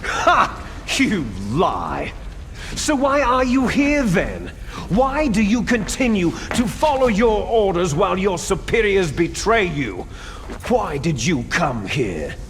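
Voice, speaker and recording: Game villain: proud warmonger, grandiose and taunting, slow delivery over noticeable noise. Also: male voice, sits high, very animated, heavily acted. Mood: taunting, accusing. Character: bitter, theatrical male soldier villain, proud warmonger. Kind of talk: dramatic video game acting.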